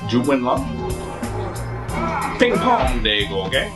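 A man co-hosting a gaming show, reacting loudly, laughing and teasing his partner as they play. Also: medium pitch, measured pace. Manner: excitable, swearing, laughing, teasing. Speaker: co-host playing along. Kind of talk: casual let's-play commentary. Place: indoor room, seated on a couch. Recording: roomy echo with loud game music.

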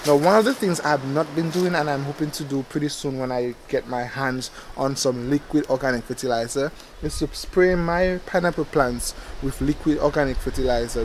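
A man's voice: medium pitch, a little hiss or hum. A young man, casually explaining how he grows plants while standing outdoors in his backyard garden, close to the camera. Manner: relaxed explanatory, points at the plant as he talks. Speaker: gardening channel host. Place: outdoor backyard garden beside a hoop house. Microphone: camera close up at face level.

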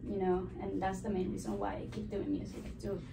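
A woman's voice: mid-range voice, flat delivery, fairly quick, creaky. A young woman from Cuba, a classical guitarist, answering interview questions eagerly with many fillers. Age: young adult. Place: small room with a framed map on the wall. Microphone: stand mic, filmed by a far camera. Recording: roomy, distant, noisy.

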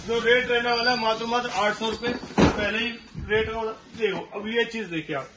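A man in his thirties giving a sales pitch for shoe stock to the camera, returning again and again to "thik hai ji". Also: fairly even intonation, normal speed, very noisy. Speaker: shoe wholesaler. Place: large tiled warehouse stacked with shoe boxes. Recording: echoey warehouse, mic at a distance.

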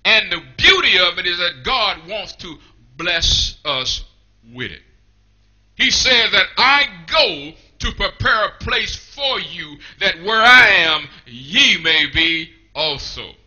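High, bright-voiced shouting preacher man. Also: big pitch swings, slow, dull audio. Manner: shouting, rhythmic call-and-response preaching. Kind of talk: revivalist sermon. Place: small church hall.

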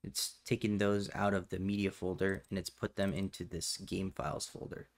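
A man with a fairly low voice walks through a software tutorial. He speaks in a plain, instructional manner, explaining things step by step as the presenter. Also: level tone, normal speed. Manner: matter-of-fact, instructional.

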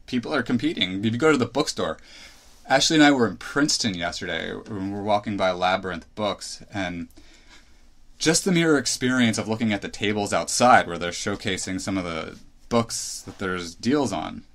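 A man's voice: lowish voice, animated, normal speed, a bit bright. A man in his thirties hosting a solo podcast, thinking aloud with restarts and asides as he gives his opinions. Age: 30s. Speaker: podcast host.

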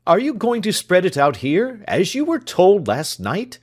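A man voicing a brisk, fussy elderly country doctor who calls out and demands things impatiently in a storybook reading. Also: high voice, wildly swooping pitch, pitched above the performer's own voice, exaggerated voice. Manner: loud, impatient calling and demanding.

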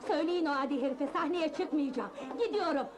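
An actress playing a haughty, outraged nightclub singer in a comedy film, shrill, imperious and melodramatic. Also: female voice, brisk, over music, natural voice. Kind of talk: Turkish comedy film acting.

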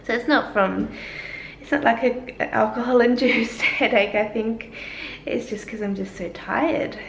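A young woman with a worn, croaky voice rambles through her day to the camera, laughing often. Her voice has a gravelly edge. She is filmed at arm's length in a roomy kitchen, with faint ambient noise behind her. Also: on the high side, a little slow. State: croaky, tired voice. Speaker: vlogger. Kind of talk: casual daily vlog. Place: home kitchen. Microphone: handheld camera at arm's length.

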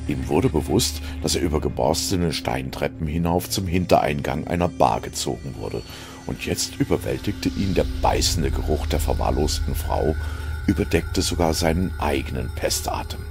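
A man narrating a science fiction audio story in a brooding, deliberate way. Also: rumbling low, creaky. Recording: music under the voice. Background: music.

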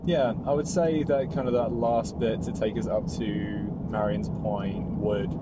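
A man in his thirties, a travel vlogger, casually recapping a hike from inside a car, chatty and relaxed. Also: fairly low pitch, a little slow. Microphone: dashboard camera mic. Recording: noisy car interior with air conditioning.

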